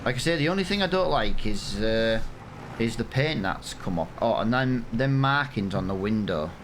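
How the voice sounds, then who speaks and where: A man's voice: mid-range voice, animated, normal speed, noisy background. An English man in his thirties, rambling and self-mocking as he gives casual commentary over a farming game. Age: 30s. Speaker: gaming channel host. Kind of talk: casual let's-play commentary.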